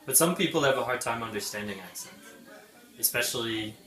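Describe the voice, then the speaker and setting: A man in his thirties from New York State, an English teacher answering interview questions in a relaxed, thoughtful way. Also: mid-range voice, fairly quick, reverberant, rough recording. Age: 30s. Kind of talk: casual street interview answers.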